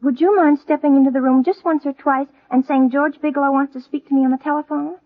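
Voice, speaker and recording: An actress playing a scheming, coaxing teenage daughter in a 1930s radio comedy. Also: female voice, high voice, steady tone, fast talker. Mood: coaxing, pleading. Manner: earnest, coaxing. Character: scheming, pleading teenage daughter and jealous sweetheart. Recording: muffled old 1930s radio recording.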